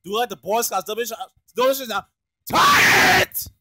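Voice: mid-range voice, fast, lightly acted. An amateur dubber voicing a hapless, hot-tempered cartoon cat who swears and complains. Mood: scheming. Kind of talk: improvised comic cartoon dub.

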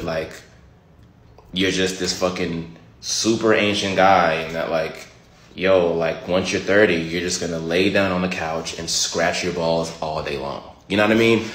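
A man, chatting straight to his phone in an animated, profane, joking way. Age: late 20s. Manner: animated, profane, jokey rants with big pitch jumps. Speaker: comedian vlogger. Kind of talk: casual direct-to-camera chat. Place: small indoor room. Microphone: phone camera at arm's length. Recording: echoey room.

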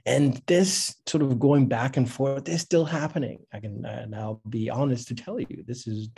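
A middle-aged man from Tanzania with a dark, mellow voice and a husky grain. He talks conversationally, as a workshop speaker, and encourages his listeners, doubling back on phrases to press them home. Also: medium pitch, moderate pace. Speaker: speaker, founder of a science advocacy institute. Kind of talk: informal workshop talk with slides. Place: indoor room with bookshelves, on a video call.

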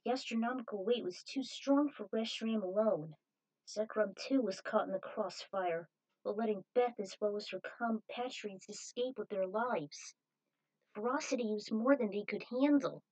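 A woman's voice: mid-range voice, moderate pace, a little mellow, rough recording. A woman reading a scripted adventure story aloud as narrator, in a dramatic manner.

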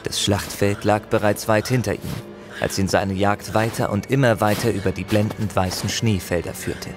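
A man's voice: on the low side, fairly even intonation, moderate pace, over music. A man narrating a fantasy audio drama as a dramatic scripted storyteller.

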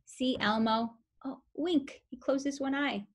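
Young lady preschool teacher doing a storytime read-aloud, kinda high voice, really slow, simple n expressive for little kids. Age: young adult. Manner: simple, expressive phrasing aimed at toddlers, with questions.

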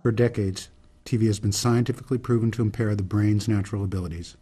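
An earnest older man played by a male actor makes a solemn speech in a radio play. His voice sits low, with a soft husky grain and a dark, mellow tone. He speaks deliberately, like a reformer stating a grave case. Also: fairly even intonation. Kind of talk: radio drama monologue, oratorical.

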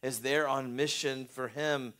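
A man's voice: mid-range voice, fairly even intonation, measured pace, pushed and bright. A middle-aged man preaching a sermon from a lectern in a small church, earnest and gesturing, with many restarts and fillers. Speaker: pastor.

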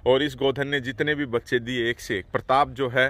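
Male host, bright forceful tone, steady explanatory delivery, outdoor farm walk-through. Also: mid-range voice, normal speed, dry recording. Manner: steady explanatory, frequent "haan haan" backchannels. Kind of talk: on-site explanatory commentary.

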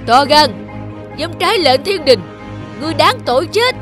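A man playing a wind god who serves heaven, formal and forceful, in a cartoon fairy tale. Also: extremely high pitch, expressive, normal speed, over music. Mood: threatening. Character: male wind god, deferential courtier, harsh enforcer. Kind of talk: animated fairy tale acting.

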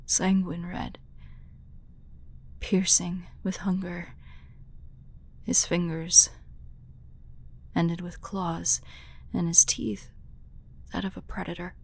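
A woman voicing a grieving, vengeful young demon hunter in an audio roleplay, soft and breathy, telling her story haltingly. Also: lowish voice, exaggerated voice. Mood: haunted, grieving. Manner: slow, halting, haunted storytelling. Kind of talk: dramatic audio roleplay monologue.

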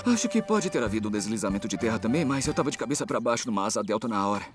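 A man's voice: fairly low pitch, expressive, rapid-fire, music in the background, natural voice. A man with a Brazilian accent voicing a kindly, reassuring father rescue motorcycle in a cartoon, explaining things in a fatherly way. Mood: apologetic, casual. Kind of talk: animated cartoon dialogue, Brazilian Portuguese dub.

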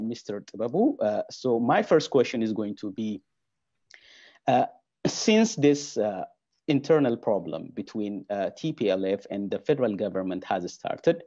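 Mellow young host, dull sound. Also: male voice, medium pitch, no echo. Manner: hesitant, many fillers. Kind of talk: moderating a panel discussion. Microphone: earbud headset on a video call.

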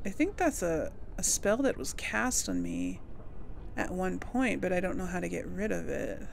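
A woman's voice: lowish voice, very animated, moderate pace, creaky, a little hiss or hum. A woman giving casual gameplay commentary, thinking aloud and musing to herself as she plays. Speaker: game streamer, player of a role-playing game.

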